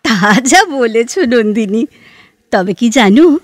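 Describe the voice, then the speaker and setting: A woman playing a reserved, bookish, introspective woman in an audio drama, speaking in a measured, hesitant and expressive way. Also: on the high side, no room sound. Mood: wistful, thoughtful. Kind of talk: Bengali audio drama dialogue. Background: background music.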